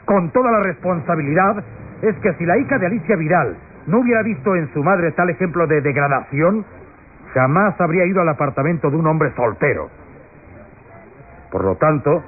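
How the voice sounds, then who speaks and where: An actor playing a stern, accusing prosecutor who addresses a jury with pompous, emphatic radio drama oratory. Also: male voice, high voice. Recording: tinny, noisy old radio recording.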